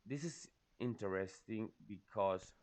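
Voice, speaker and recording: Spanish-accented man with a very low voice. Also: no echo. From Spain. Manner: careful, halting non-native phrasing. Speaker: player commentating his own game. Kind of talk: recorded gameplay commentary.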